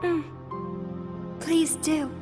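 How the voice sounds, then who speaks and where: Gentle, high-voiced, wistful girl. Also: fairly even intonation, extremely slow, music in the background. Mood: earnest. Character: gentle, wistful teenage girl, softly solemn. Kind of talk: video game cutscene acting.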